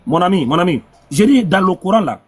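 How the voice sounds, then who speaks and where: A man's voice: sits high, lively intonation, brisk. A man in a heated religious debate, pressing his opponent with insistent, repetitive questions.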